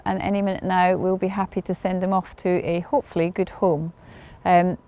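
A woman vet in her forties explaining on camera in a stable yard, measured and matter-of-fact with frequent ums, on a lapel mic. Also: medium pitch, a little mellow. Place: outdoor stable yard by a brick wall.

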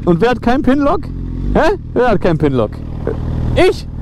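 A young biker talks from under his helmet, chatty and full of slang and curses. His voice sits fairly high, and loud road and engine noise runs under every word. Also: huge pitch swings, normal speed. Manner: slangy, swearing, laughing, chatty. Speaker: motovlogger. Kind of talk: casual vlog chat while riding. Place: outdoors on a motorcycle in the rain.

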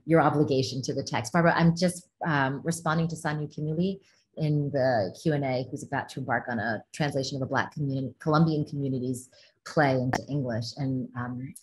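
Woman translator, very deep voice, thoughtful panel answers, frequent ums, laptop call from home. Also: low-quality recording. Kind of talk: online panel discussion, reading audience questions. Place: home living room indoors. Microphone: laptop video call.

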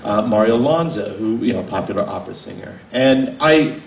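A man in his forties, an author, giving a casual, halting talk with many fillers and restarts to a small audience in a library. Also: on the low side, animated, vocal fry, a little hiss or hum. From United States. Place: library room, indoors. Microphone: table mic on a stand, filmed from a distance. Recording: roomy, mic at a distance.

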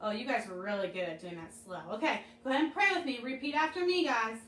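Animated high-voiced woman teaching children. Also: fast, a little hiss or hum. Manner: bright, animated, talking to children on camera. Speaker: children's chapel leader. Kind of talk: children's devotional and craft lesson. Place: living room, seated on a couch. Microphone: camera a few feet away. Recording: echoey room, mic at a distance.

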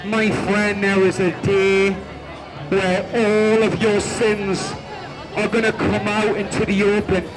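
Man, shouting, flat, slow, busy street, music behind. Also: sits high, crowd noise, rough recording. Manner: shouting, drawn-out, monotone. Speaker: street preacher. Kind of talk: open-air preaching. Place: outdoor city street.

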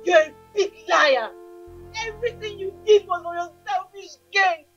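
A woman's voice: very high-pitched, moderate pace. An actress playing a betrayed young woman, tearful and accusing. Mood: sobbing rage. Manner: tearful, accusing, shouting at times. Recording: dull lo-fi film audio with music.

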